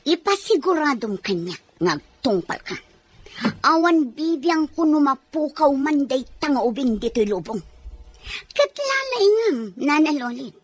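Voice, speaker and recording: A woman's voice: sits high, big pitch swings, unhurried. A woman playing an anxious, commanding madam in an audio drama, urgent and insistent. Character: anxious, commanding young madam sending a baby away. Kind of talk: amateur audio drama acting.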